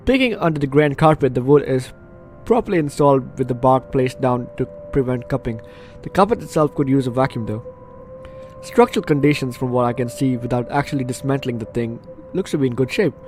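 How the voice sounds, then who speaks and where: A man's voice: medium pitch, darkish tone. Man reading a game aloud over faint music. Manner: reactive, chatty asides. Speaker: let's play host. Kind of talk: reading a visual novel aloud with playful commentary.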